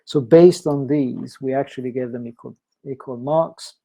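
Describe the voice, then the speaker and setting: Guy with a gravelly, soft dark voice giving semi-formal judging remarks, repeats himself a bit. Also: mid-range voice, normal speed. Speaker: competition jury member presenting results.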